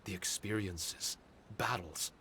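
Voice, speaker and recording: A man's voice: low-pitched, takes it slowly, sharp tone, a little hiss or hum, heavily acted. A man voicing a tiny living paper spirit in a video game, earnest and self-blaming, speaking formally. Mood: solemn. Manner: formal, solemn, sighing. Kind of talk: video game character acting.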